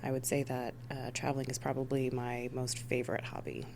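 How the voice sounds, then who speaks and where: Woman, interview guest, deep voice, conversational answers, dispatch supervisor, faint background hum. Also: completely flat delivery, fairly quick, gravelly, a little mellow. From United States (Missouri and Rhode Island).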